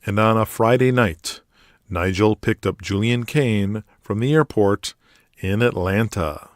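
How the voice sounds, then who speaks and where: A man with a lowish voice works as podcast host and narrator. He delivers scripted announcements and storytelling, recorded close with very little room sound. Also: measured pace, no echo.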